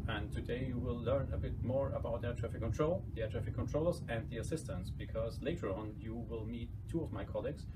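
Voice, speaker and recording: A man in his thirties giving an explanatory introduction to schoolchildren as a presenter. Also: medium pitch. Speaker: air traffic control manager presenting to schools. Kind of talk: scripted-sounding introduction to an educational broadcast. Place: small indoor office room. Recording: distant mic, clear background noise.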